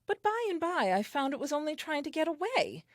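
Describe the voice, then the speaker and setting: A woman's voice: high-pitched, very animated, a little fast, dry recording, studio quality. An actress playing a curious, earnest, newly created first woman, reading her diary aloud with naive, precise self-assurance in an audio play.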